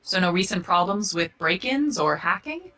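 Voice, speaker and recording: An actress playing a direct, tough police detective in a video game. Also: female voice, on the low side, very animated, normal speed, sharp tone, recorded from a distance, faint music behind. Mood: probing. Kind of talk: video game dialogue acting.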